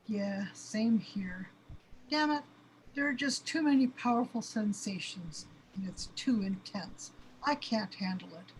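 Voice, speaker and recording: A woman's voice: mid-range voice, a little slow, natural voice. A woman playing a panicky, overwhelmed avatar who longs for a real body, in an amateur audio drama. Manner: anxious, complaining, overwrought. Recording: clearly noisy background.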